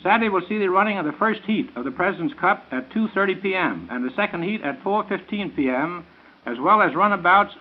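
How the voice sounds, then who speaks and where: An American man making scripted announcements in the style of 1930s radio. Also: high voice. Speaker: event announcer on a radio show. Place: radio studio. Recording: tinny, narrow 1930s radio broadcast.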